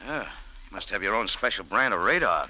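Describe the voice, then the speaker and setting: An actor playing a tough, wisecracking American café owner and adventurer, clipped and sardonic, in old-time radio style. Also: male voice, fairly low pitch, animated, moderate pace, background noise, close to an everyday voice. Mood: cool, taunting. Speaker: lead actor and narrator. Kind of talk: old-time radio detective acting and narration.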